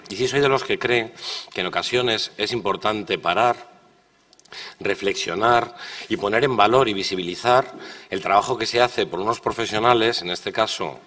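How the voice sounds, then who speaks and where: In a hall with long reverb, a man with a Castilian Spanish accent gives an opening conference speech. His voice is fairly low and slightly hoarse, and he builds his points discursively with emphatic repeated lists. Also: normal speed, fairly bright tone. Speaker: official opening the event.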